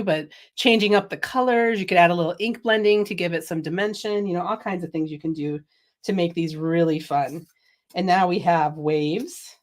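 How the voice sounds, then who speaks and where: Chatty woman with a sniffly cold. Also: lowish voice. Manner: chatty, laughs often, reads viewer comments. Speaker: craft livestream host. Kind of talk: casual product demo chat.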